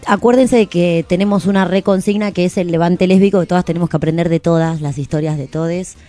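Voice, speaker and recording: A woman's voice: on the low side, fairly even intonation, a little fast, dark tone, faint music behind. A woman with an Argentine accent chatting casually as a radio host, informal and rambling. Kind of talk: casual chat.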